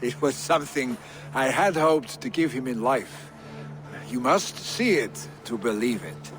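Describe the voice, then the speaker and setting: An actor playing a devoted young Norse noble, a jarl's son, speaking in a measured, sincere way in a game drama. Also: male voice, mid-range voice, lively intonation, close to an everyday voice. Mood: sincere, subdued. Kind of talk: dramatic game cutscene acting. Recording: music behind the voice.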